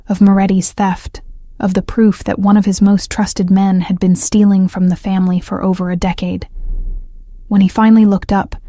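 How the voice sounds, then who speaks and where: Mellow, even woman narrator. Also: mid-range voice, no room sound, studio quality. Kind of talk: dramatic story narration.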